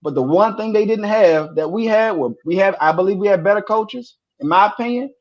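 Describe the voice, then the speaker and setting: A man co-hosting a sports podcast, talking casually and telling stories with animated repeats when excited. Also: high-pitched, a little fast, muffled recording.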